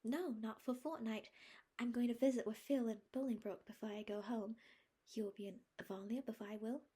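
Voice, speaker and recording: A woman's voice: a little high, fairly even intonation, a little fast, warm tone, pitched above the performer's own voice. A woman reading an audiobook voices a dreamy, eager young woman who speaks breathily and often drops to a whisper. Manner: breathy, rapturous, at times whispering. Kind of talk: audiobook character voice.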